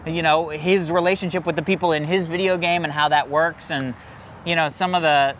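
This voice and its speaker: A man's voice: fairly high pitch, steady tone, brisk, a bit bright. An American man co-hosting a casual movie review, talking in an emphatic, enthusiastic, rambling way, with outdoor street noise behind him. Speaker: film review show co-host.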